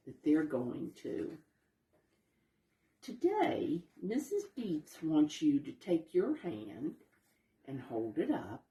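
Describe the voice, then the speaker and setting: A woman reading a picture book aloud to young children, explaining the pictures simply and gently as she goes. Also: low-pitched, recorded from a distance. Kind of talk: expressive read-aloud storytelling with asides to young listeners. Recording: roomy echo, voice away from mic.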